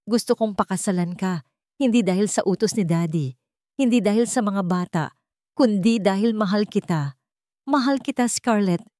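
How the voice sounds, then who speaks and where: A woman's voice: mid-range voice, normal speed, mellow, no echo, studio quality, voice pushed up, natural voice. A woman storyteller voicing an earnest, humble young man who proposes tenderly in short, solemn phrases.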